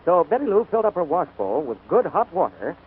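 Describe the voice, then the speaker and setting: A man's voice: a little high, sing-song, fast talker, slightly rough. A man announcing and reading advertisements in a polished 1940s radio style. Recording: scratchy, narrow-band 1940s radio broadcast.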